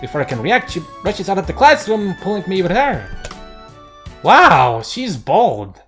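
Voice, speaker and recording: A man hosts a visual novel let's play with a very lively, swinging delivery. He reads the game text aloud and breaks in with casual, excitable asides, while soft game music plays behind him. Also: high-pitched, unhurried. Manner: excitable outbursts between narrated lines. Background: game background music.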